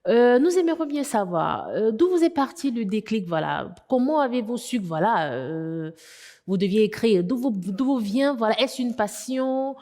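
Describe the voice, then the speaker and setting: A woman's voice: fairly high pitch, lively intonation, moderate pace, warm tone, long reverb tail. A woman hosting a TV interview, introducing a guest and asking rambling questions full of fillers. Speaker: interviewer host.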